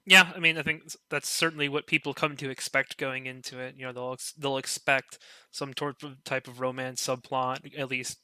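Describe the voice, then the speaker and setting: A man's voice: mid-range voice, little pitch movement, normal speed, sharp tone, clear, resonant tone, no room sound. A man in a casual podcast chat, rambling with lots of "you know" and "like".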